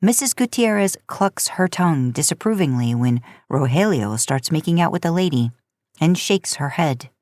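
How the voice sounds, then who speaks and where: A woman narrating a story aloud in a casual, expressive way. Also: low voice, moderate pace, no room sound, clean studio sound. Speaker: podcast host narrating fan fiction.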